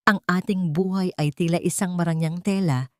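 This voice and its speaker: A woman reads a scripted dramatic story as an audiobook narrator, in an earnest, sentimental and measured way. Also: on the low side, fairly even intonation, no echo, clean studio sound.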